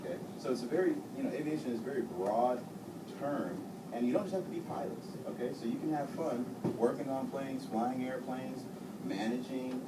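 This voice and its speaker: A man giving an encouraging talk to children, often checking in with "okay?". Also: mid-range voice. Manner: encouraging, keeps asking "okay?". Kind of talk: encouraging talk to a young audience. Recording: roomy, distant mic, loud background noise.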